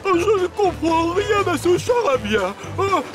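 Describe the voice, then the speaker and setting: A man's voice: extremely high pitch, big pitch swings, takes it slowly, music in the background, exaggerated voice. A man playing a pompous, flustered older railway boss in a French cartoon, spluttering as he talks. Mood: panicked.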